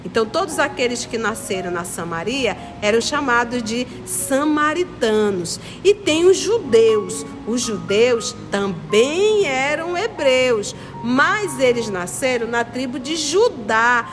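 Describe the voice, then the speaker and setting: A woman's voice: fairly high pitch, animated, takes it slowly, few pauses. A woman with a northern Brazilian accent from Manaus teaches a children's lesson, explaining step by step, repeating points and putting questions to her listeners. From Manaus, Amazonas, Brazil. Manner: didactic, repetitive, questioning listeners. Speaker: children's religious study teacher. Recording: long reverberant echo, music behind. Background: clear background music.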